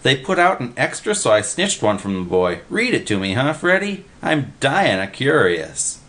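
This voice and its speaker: A man reading a story aloud, voicing an excitable, simple young man who talks in breathless slang. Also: mid-range voice, moderate pace, voice pushed up. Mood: excited pleading.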